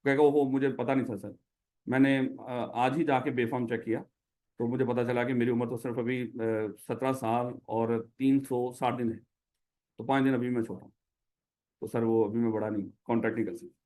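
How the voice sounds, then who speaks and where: A man's voice: medium pitch, flat delivery, moderate pace, a little mellow. A man with a Pakistani Urdu accent teaching a classroom lecture, who explains by putting questions to his students.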